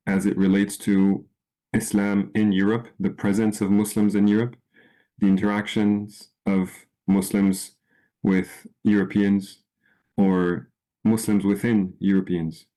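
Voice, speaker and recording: Male lecturer from France, measured and halting, fairly low voice; walks a group through slides. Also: level tone, warm tone, poor audio. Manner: unhurried, with frequent ums and restarts. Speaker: presenter. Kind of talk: informal presentation.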